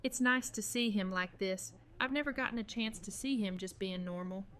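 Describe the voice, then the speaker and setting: A woman playing a guarded but warm farm-raised young survivor in an audio drama, talking in dialogue. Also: mid-range voice, normal speed, clear, resonant tone, dry recording, lightly acted. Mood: wistful.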